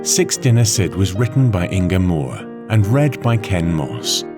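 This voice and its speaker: A man reading a scripted children's story in a measured, expressive way, with deliberate pauses. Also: sits low, over loud music. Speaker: storybook narrator.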